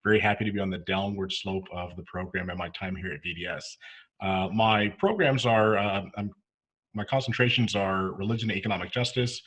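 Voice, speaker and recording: Man panelist, low husky voice, upbeat formal intro. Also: a little fast, a bit bright. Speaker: divinity student, panelist.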